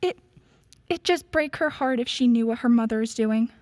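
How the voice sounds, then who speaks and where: A woman playing a gently disapproving spouse in a radio play, mild and chiding in manner. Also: a little high, normal speed, dry recording, studio quality, close to an everyday voice. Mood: concerned, chiding. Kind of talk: stage-style radio play acting.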